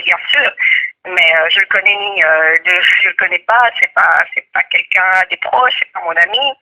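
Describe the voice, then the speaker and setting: Woman from DR Congo, forties, very bright sharp tone, hesitant, indignant interviewee. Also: fairly low pitch, vocal fry, poor audio. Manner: hesitant, many fillers and restarts; indignant denials. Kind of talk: phone interview answers.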